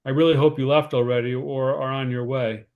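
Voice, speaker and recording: A man's voice: medium pitch, steady tone, brisk, phone-quality sound, close to an everyday voice. A man acting the part of a harried, considerate cousin, earnest and slightly fretful, in a screenplay read-through. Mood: anxious, upbeat. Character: David, harried, anxious, considerate male cousin. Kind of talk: informal screenplay table read, naturalistic dialogue.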